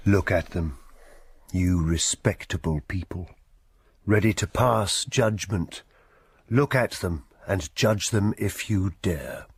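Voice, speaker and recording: An actor playing a sardonic storybook narrator in a radio drama, with a husky voice and dramatic delivery. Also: male voice, deep voice, takes it slowly, heavily acted. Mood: challenging.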